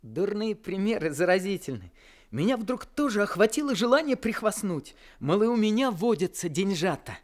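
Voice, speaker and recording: An earnest young narrator. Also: male voice, high voice, very animated, normal speed. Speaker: narrator and teenage older brother. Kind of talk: first-person radio play narration.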